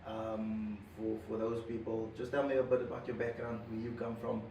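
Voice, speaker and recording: A Zambian man hosting a relaxed podcast chat, pausing on lots of ums and uhs and laughing easily. He sits back from the mic in a roomy, echoing space with faint noise behind him. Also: on the low side. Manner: hesitant, many ums and uhs, laughs often. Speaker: podcast host. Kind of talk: casual interview chat. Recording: echoey room, mic at a distance, background noise.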